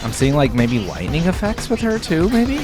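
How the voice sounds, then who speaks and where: A man's voice: on the high side, wildly swooping pitch, moderate pace. A man hosting a reaction video, thinking aloud in casual, informal commentary. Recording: music behind the voice.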